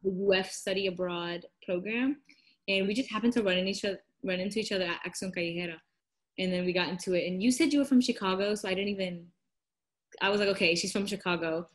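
A woman's voice: mid-range voice, fast. A young woman from Florida, a university student, chatting on a video call and retelling events with quoted asides. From Florida, United States. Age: young adult. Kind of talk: casual video call chat. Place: indoor living room. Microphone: laptop webcam on a video call.

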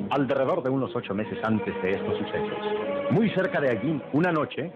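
An actor as the solemn narrator of a radio melodrama, reading a scripted story. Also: male voice, mid-range voice, close to an everyday voice. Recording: dull old radio recording with music.

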